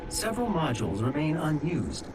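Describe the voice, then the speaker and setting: A man voicing a calm, formal ship computer that gives instructions in a video game. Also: fairly high pitch, moderate pace. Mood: formal, informative. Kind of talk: scripted game narration. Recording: distant, with clear noise over it.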